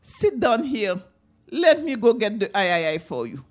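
Older lady doing a gentle wise old granny, calm and soothing, voice goes up and down a lot. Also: fairly high pitch, moderate pace. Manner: calm and reassuring. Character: wise, kind old woman.

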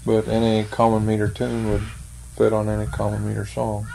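An older man answering interview questions slowly and thoughtfully, with many pauses and fillers. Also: on the low side, monotone, gravelly, warm tone, slight background noise. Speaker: interviewee, church member. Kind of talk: oral history interview.

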